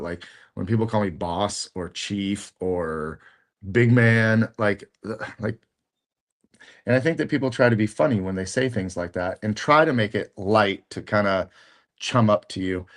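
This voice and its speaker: Middle-aged guy, really low voice, casual podcast chat, lots of ums and restarts. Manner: halting, many fillers and restarts. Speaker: podcast co-host.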